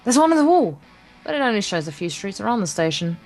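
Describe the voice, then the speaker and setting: A woman's voice: medium pitch, big pitch swings, fast talker, darkish tone. A woman co-hosting a let's play, reading visual novel narration aloud, stumbling over words and adding wry, casual asides. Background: acoustic guitar game music.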